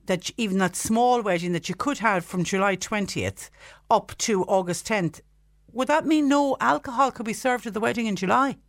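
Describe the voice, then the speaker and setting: A woman hosting a radio show, asking brisk questions in a studio. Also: mid-range voice, no room sound. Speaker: radio host. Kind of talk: radio interview.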